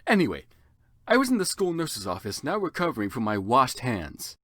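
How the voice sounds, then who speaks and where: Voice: medium pitch, wildly swooping pitch, normal speed, a bit bright, no echo, clean studio sound. A narrator giving a theatrical, exaggerated comedic reading of fan fiction.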